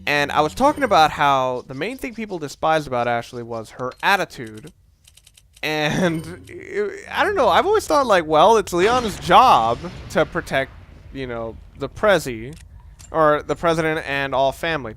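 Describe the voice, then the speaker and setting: A man's voice: on the high side, sing-song, moderate pace, a bit bright, soft background music. A young man giving casual, rambling commentary as he plays a video game, often interrupting himself. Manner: rambling, self-interrupting, conversational. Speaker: let's play host. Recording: faint background noise, game sounds underneath. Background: video game sound.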